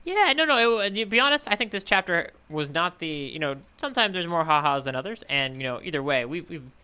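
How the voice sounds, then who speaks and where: A man's voice: fairly high pitch, sing-song, fairly quick, bright, forceful tone, smooth. A man co-hosting a casual comedic podcast, thinking aloud conversationally about manga. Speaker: podcast co-host.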